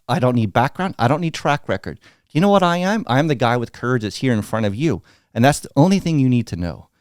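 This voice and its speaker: A middle-aged American man tells stories bluntly, with animated energy, and voices the people in them as he goes. His voice sits in a mid range. The sound is dry and close. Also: mid-range voice, no room sound. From United States. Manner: blunt, animated, acts out quoted dialogue. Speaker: guest. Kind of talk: candid storytelling interview.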